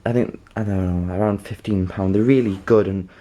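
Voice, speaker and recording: A man presenting an informal how-to demonstration, halting and rambling, often checking in with the listener. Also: fairly low pitch, steady tone, warm tone. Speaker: video tutorial presenter.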